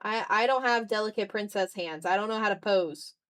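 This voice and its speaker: A woman's voice: mid-range voice, fairly quick, a bit bright, clear, resonant tone. Girl in her 20s streaming her drawing, kinda talking to herself, swears a bit, pokes fun at herself, dry sound no echo. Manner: muttering to self, self-deprecating, casually sweary. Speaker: art streamer. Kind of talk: casual livestream chat while drawing. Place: room at home.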